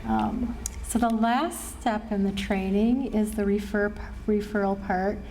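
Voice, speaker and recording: Mellow middle-aged American woman presenting. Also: mid-range voice, fairly even intonation, unhurried, a little hiss or hum, low-quality recording. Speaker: suicide prevention trainer and presenter. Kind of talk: training presentation to a live group. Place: presentation room at a care facility.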